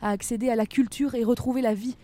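A woman reporter giving scripted news narration in a measured, literary way. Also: a little high, steady tone, mellow.

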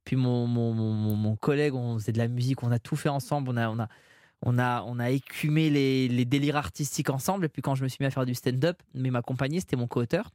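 A man's voice: mid-range voice, steady tone, fast, darkish tone, no room sound, clean studio sound. A man, a comedian and filmmaker, telling anecdotes in a casual interview. He talks animatedly, with frequent restarts and acted-out quotes. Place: studio with glass screens.

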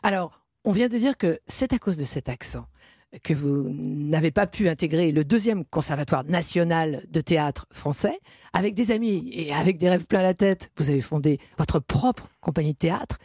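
Intervieweuse posée au phrasé soigné. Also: female voice, low voice, animated, normal speed, dark tone, breathy edge, no room sound, clean studio sound. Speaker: interviewer, host. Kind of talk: prepared radio-style interview questions.

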